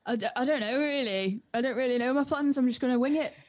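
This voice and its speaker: A woman's voice: high voice, fast talker. A young woman with a northern accent from the North East of England, chatting casually in a giggly, slangy, informal way. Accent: Northern English, North East. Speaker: DJ and music producer. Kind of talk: casual chat.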